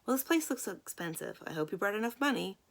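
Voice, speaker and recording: A woman's voice: medium pitch, lively intonation, fast talker, vocal fry, pitched above the performer's own voice. A woman voicing a protective, plain-spoken grandmother in a children's novel, dry yet reassuring. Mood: wary.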